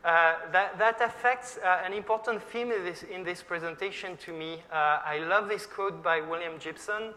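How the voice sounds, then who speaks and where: A man's voice: sits high, normal speed, hardly any pauses, bright, forceful tone. A middle-aged man from France with a French accent, giving a friendly, chatty conference talk with many fillers and questions to the audience. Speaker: conference speaker. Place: conference hall. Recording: reverberant hall with faint background noise.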